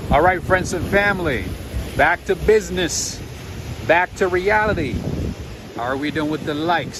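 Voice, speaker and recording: A man hosting a night-time walking livestream outdoors in a city, chatting casually with his viewers. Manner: chatty, addresses viewers, lively swings in pitch. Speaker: livestream walking-tour host. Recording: loud street noise. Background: loud city traffic noise.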